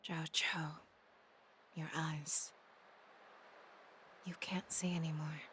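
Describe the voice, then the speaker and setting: Resolute warrior general for a game: low register, measured and grave delivery. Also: female voice, sharp tone, slightly rough, full character voice. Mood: hushed, grieving. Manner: solemn, formal, measured. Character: stern, resolute woman warrior general, quietly remorseful. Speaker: game character voice actor. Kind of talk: dramatic game voice acting. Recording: music behind the voice.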